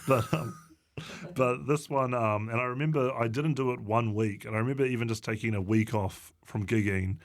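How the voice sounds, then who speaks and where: A man with a New Zealand accent talks as a guest comedian in a casual interview. His delivery is halting and full of pauses, with a dry, self-deprecating manner. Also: mid-range voice, steady tone. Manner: halting, hesitant delivery with long drawn-out pauses.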